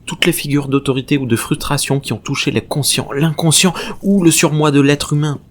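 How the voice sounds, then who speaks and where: A man's voice: a little high, fairly even intonation, brisk, lightly acted. An actor playing an obsessive, exalted male researcher given to professorial philosophical tirades in a French audio drama. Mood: agitated, fervent. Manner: professorial, fervent, rambling monologues. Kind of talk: French science-fiction audio drama acting. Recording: clear background noise and ambience.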